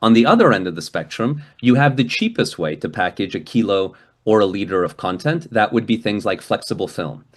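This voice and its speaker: A man from Hungary speaks to a class over a video call, his voice sitting a little low. He talks in a loose, conversational way, restarting his sentences and tagging "right?" onto his points. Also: expressive, a little fast. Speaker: guest speaker. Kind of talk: lecture with Q&A.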